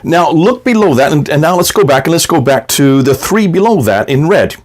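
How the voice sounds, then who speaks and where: A man's voice: on the high side, lively intonation, fairly quick. Combative man arguing to camera. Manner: combative, emphatic, with frequent restarts. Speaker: apologetics video host. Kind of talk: argumentative commentary to camera.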